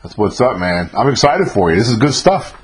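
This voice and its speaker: Lively New radio host. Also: male voice, lowish voice, fairly quick, slight background noise. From New York. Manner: chatty and encouraging. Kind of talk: casual interview.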